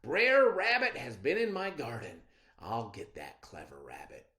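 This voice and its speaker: A man's voice: mid-range voice, sing-song, a little slow, sharp tone, echoey room. Man as an emphatic, wildly swooping farmer dad. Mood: suspicious, indignant. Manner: emphatic, scolding. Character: bossy, suspicious farmer father guarding his garden. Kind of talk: children's storybook read-aloud.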